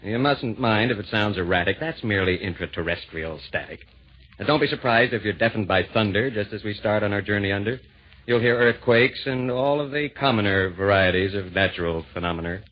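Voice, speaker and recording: A man narrating an old radio play in rhyme, theatrical, measured and wry. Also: on the low side. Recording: dull vintage radio recording.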